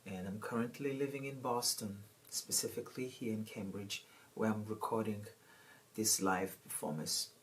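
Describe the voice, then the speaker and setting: A man from Mozambique, a musician whose mother tongue is Shangana, briskly introducing his live performance from home. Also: lowish voice, steady tone, slight background noise. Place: home in Cambridge.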